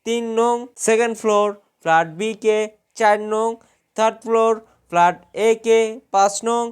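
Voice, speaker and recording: A man's voice: high voice, smooth. A man narrating a scripted how-to tutorial, explaining step by step. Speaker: tutorial host.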